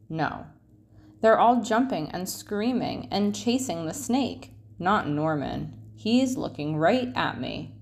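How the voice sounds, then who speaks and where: A woman reading a children's picture book aloud expressively, with drawn-out phrase endings. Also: medium pitch, darkish tone.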